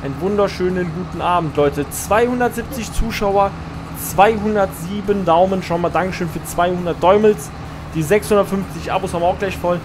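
Guy streaming a farm game, chatty, reads chat and replies, kinda high voice, noisy mic. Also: a little fast. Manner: chatty, answers chat messages while playing. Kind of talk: live gameplay stream, reading chat.

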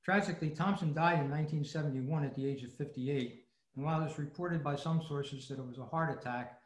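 Echoey man presenting, higher-pitched. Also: brisk. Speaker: presenter at an old-time radio club. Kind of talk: scripted presentation. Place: online video meeting. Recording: roomy, echoey video call.

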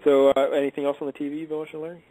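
Quick-talking man steering a radio chat. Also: fairly high pitch, little pitch movement, dark tone, low-quality recording. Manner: brisk, steers the talk with questions. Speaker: host.

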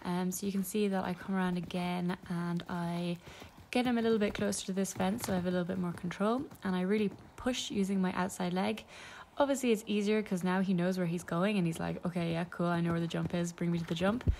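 A woman's voice: lowish voice, fairly even intonation, brisk, vocal fry, darkish tone, slight background noise. A young woman talking casually through a ride as a voice-over, with lots of ums and small laughs. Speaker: equestrian vlogger.

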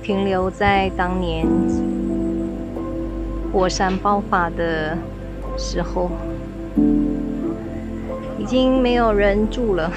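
A woman's voice: medium pitch, slow pace, pauses often. A Malaysian woman narrating her motorcycle travel vlog in a reflective, chatty way, outdoors in a village. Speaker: motorcycle vlogger. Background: music.

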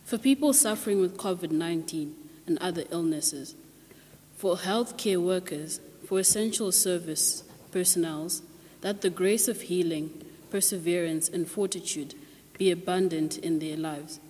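A young woman reads intercessions in a careful, formal way. Her voice is soft-edged and mellow, a little low, heard in a reverberant chapel. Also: a little slow. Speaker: reader of intercessions. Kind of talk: read-aloud liturgical prayer. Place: chapel lectern. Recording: reverberant chapel, faint background noise.

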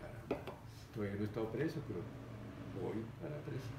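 A man's voice: lowish voice, fast, creaky. Older man telling a story. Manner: animated, gestures a lot, voices the people in his story. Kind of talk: casual anecdote telling. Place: room with framed pictures, seated at a table indoors. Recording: echoey room with background noise.

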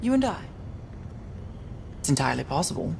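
A British woman intelligence officer in a video game cutscene. Her voice sits fairly low, formal and precise, with a measured, analytical temper. Clear ambient noise runs behind her. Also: big pitch swings, a little mellow, exaggerated voice. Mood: composed.

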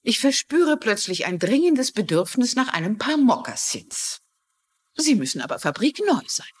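An actress playing a kindly, shrewd middle-aged crime writer in a German-dubbed mystery drama, speaking politely and inquisitively. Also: female voice, fairly high pitch, very animated, normal speed, breathy edge. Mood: friendly, inquisitive.

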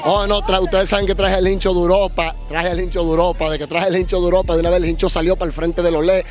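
A young man with a Dominican accent, an urban music artist giving a slangy, animated street interview into a handheld mic outdoors. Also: sits high. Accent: Dominican Spanish. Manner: fast, slangy, animated storytelling.

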